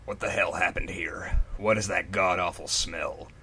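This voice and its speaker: A man voicing a gruff, stern male teacher in a comedic fan fiction reading, demanding answers. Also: low voice, steady tone, normal speed, bright, forceful tone, pitched below the performer's own voice, full character voice.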